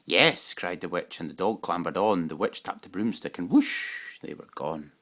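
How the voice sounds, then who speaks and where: A man giving an expressive, dramatic reading of a rhyming children's picture book. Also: low-pitched, normal speed. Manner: dramatic, rhythmic rhyming delivery. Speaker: storybook reader.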